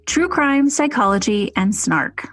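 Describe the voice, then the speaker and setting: A woman's voice: medium pitch, expressive, unhurried, no room sound, faint music behind. A woman podcast host delivering a scripted promo for her show. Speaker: podcast co-host and forensic psychologist.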